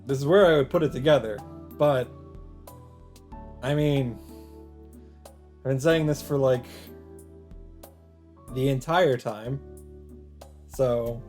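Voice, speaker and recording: A man doing a casual let's play, reading visual novel text aloud in a mid-pitched voice. He breaks off to add his own asides and reactions. Game music plays softly behind him. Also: slow pace, pauses often. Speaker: let's player.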